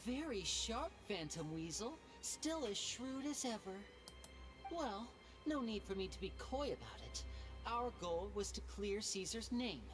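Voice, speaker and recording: A woman playing a poised, cunning young stage magician in a video game, measured and theatrical. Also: mid-range voice, very animated. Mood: coy, confident. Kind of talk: English video game voice acting. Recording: music behind the voice.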